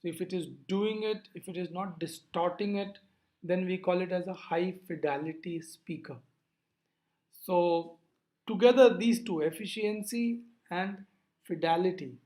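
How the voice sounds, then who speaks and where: A lecturer explaining physics over slides in a deliberate, didactic way, posing questions and then answering them. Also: mid-range voice, dark tone.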